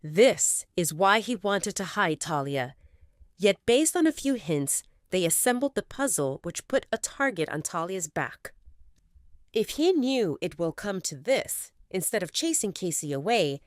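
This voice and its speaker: Expressive woman narrating a romance drama. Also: medium pitch, measured pace, dry recording, clean studio sound. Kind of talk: scripted audio drama narration.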